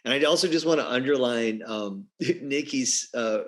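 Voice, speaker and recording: A man panelist speaking thoughtfully on a video call, with halting restarts. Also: medium pitch, a bit bright.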